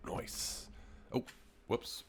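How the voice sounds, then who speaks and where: A young man with a cold, his voice gravelly and nasal, giving an enthusiastic, rambling game walkthrough full of ums. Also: drawn-out words, slight background noise. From Canada. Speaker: gaming YouTuber giving a server tour. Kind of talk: casual gameplay commentary.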